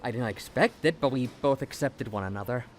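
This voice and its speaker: A man's voice: mid-range voice, brisk, slight background noise, lightly acted. A man playing a friendly, upbeat man in his early twenties in an audio drama, with a casual, playful manner. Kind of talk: audio drama acting of fan fiction.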